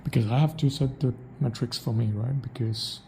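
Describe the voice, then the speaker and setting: A man's voice: mid-range voice, steady tone, normal speed, vocal fry, warm tone, slight background noise. A man with an Indian English accent, thinking aloud in a reflective solo monologue, restarting his sentences often. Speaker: podcaster.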